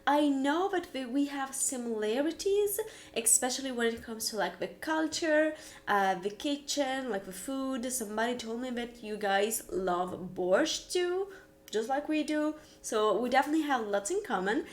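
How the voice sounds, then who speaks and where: A woman's voice: sits high, lively intonation, normal speed. A young woman from Ukraine, raised in Italy, chatting casually and curiously as she reacts to a video. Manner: chatty, curious, laughs easily. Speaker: reaction streamer.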